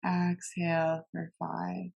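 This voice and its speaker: Woman, meditation instructor, calm and gentle, even level intonation, very slow pace, soothing cues. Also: on the low side, gravelly, lo-fi sound. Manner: calm, gentle, unhurried cueing with long pauses.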